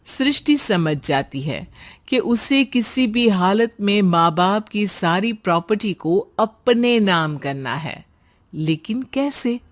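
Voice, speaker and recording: A woman's voice: medium pitch, lively intonation, takes it slowly. A woman narrating a scripted moral story as a storyteller.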